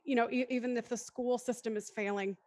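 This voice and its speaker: A woman's voice: on the high side, steady tone, fairly quick, darkish tone. A woman in her thirties hosting a post-show discussion, speaking in a careful, wordy, articulate way. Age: 30s. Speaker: host and moderator.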